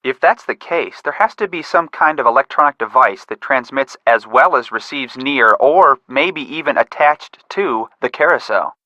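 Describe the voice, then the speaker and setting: Cautious young male sleuth, bright forceful voice, mid pitch, level-headed, precise, game dialogue. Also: expressive, normal speed, hardly any pauses, no room sound, lo-fi sound. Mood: matter-of-fact. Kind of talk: scripted game voice acting.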